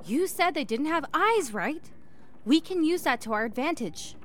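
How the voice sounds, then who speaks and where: A woman's voice: sits high, animated, normal speed, a little hiss or hum. A woman acting in an audio drama as a resourceful, reassuring hiker who rambles and swears under pressure. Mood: urgent planning. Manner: naturalistic, hesitant rambling.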